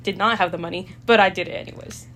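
A woman's voice: on the low side, fairly even intonation, fast, fairly bright tone, faint music behind. Chatty young woman from El Salvador. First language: Spanish. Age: young adult. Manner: chatty, hesitant with many fillers, laughs easily. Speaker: student guest. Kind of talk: casual chat.

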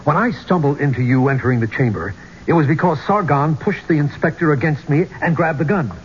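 An actor playing a tense archeologist trapped in a tomb in a 1970s radio drama, with halting, dramatic delivery. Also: male voice, a little high, lightly acted. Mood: grave. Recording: dull, noisy old radio broadcast.

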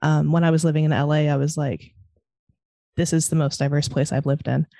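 A woman's voice: low voice, flat delivery, brisk, gravelly, warm tone, no room sound, studio quality. A woman from California with a Californian American accent, chatting casually in a hesitant, meandering way peppered with "like". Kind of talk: casual panel conversation.

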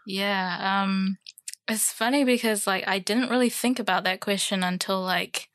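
A woman's voice: medium pitch, steady tone, measured pace, a bit bright, dry recording. A young woman with a New Zealand accent, a podcast guest talking casually with many fillers and light hesitations. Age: young adult. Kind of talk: casual chat.

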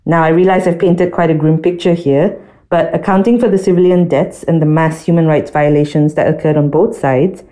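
A woman with a low, soft-edged mellow voice co-hosts a discussion podcast. She explains in measured, articulate sentences, laying things out step by step. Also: steady tone. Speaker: podcast co-host.

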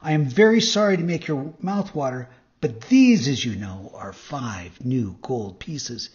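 A man's voice: medium pitch, animated, a little slow, darkish tone, lo-fi sound, natural voice. A man voicing an earnest, naive young boy marionette in a storybook reading. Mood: indignant. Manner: eager, indignant, innocent.

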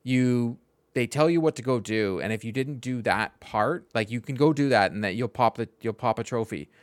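A man's voice: mid-range voice, normal speed, clean tone, no echo. Man chatting casually, close and dry sound. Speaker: podcast co-host. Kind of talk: casual opinionated chat.

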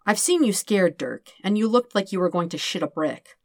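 A woman's voice: medium pitch, animated, normal speed, a little mellow, no room sound, studio quality, lightly acted. A woman voicing a casual, blunt, long-suffering man with a wry, offhand manner in an audiobook story. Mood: teasing. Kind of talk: acted dialogue in fan fiction audiobook.

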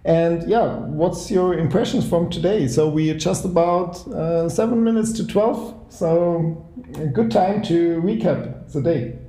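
A man's voice: on the high side, unhurried. A man hosting a casual group podcast recorded in a shared apartment, chatty and leading the round. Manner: relaxed, chatty, leads the round. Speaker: podcast host. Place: shared apartment room at night. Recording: echoey room.

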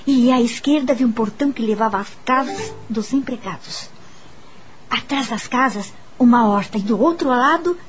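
A woman's voice: fairly high pitch, darkish tone, slight background noise, close to an everyday voice, poor audio. A woman playing a curious, uneasy young teacher in a Brazilian radio drama, narrating her own story expressively. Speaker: lead actress and narrator.

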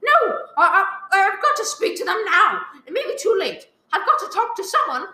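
A woman's voice: very high-pitched, very animated, moderate pace, pushed and bright, heavily acted. A woman playing a panicky, demanding invalid wife in a radio play, stammering and pleading. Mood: agitated, demanding. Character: frantic, demanding, self-pitying invalid wife alone at night. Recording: roomy echoing live recording.

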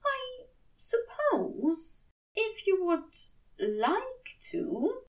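Woman playing a high-pitched, fussy, tipsy male angel. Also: sing-song, distant mic, heavily acted. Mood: hesitant, coy. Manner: prim, plaintive, drawn-out delivery.

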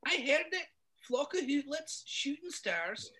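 A man reading a story aloud, putting on a stern, irritable middle-aged Scottish witch in a high falsetto, set back from the mic. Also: sing-song, slow pace, bright, forceful tone, full character voice. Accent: Scottish, put on. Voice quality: high strained falsetto with thick Scots words.

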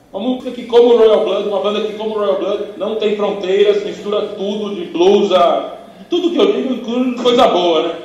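A man's voice: high-pitched, darkish tone, far from the mic, dull audio. A man co-hosting a podcast with sarcastic, wisecracking remarks. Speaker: podcast co-host. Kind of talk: casual chat. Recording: echoey room, off-mic.

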